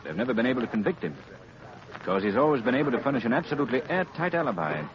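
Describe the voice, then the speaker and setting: An actor playing a composed, worldly young gentleman sleuth, assured and articulate, in a 1930s radio drama. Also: medium pitch, very animated, very fast, background noise, lightly acted. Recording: muffled 1930s radio, crowd murmur.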